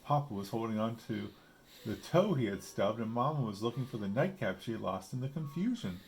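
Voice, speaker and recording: A man's voice: mid-range voice, animated, brisk, slight background noise. A man reading a picture book aloud with casual asides, explaining simply as if to a young child. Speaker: storybook reader.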